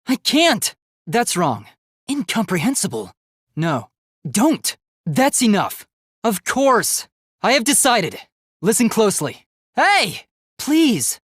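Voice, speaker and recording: Young robot student, high voice, very animated, earnest, polite, formal diction, anime game acting, close dry sound. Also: male voice, slow pace, pauses often, breathy edge, no echo, heavily acted. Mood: indignant, alarmed. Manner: formal, precise diction, emphatic. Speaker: video game voice actor. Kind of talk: dramatic anime game voice lines.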